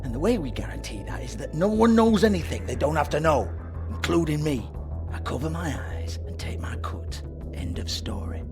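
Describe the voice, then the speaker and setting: A man's voice: on the high side, lively intonation, moderate pace, whispered, music in the background. A man playing a foul-mouthed, shifty club boss in a video game, with a British accent and a sarcastic, taunting manner. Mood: evasive, irritated. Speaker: game character, shady club owner.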